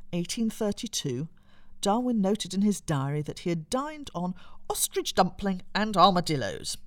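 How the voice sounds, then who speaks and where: A woman reading scripted educational narration in a measured, articulate, explanatory way. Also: lowish voice, no echo.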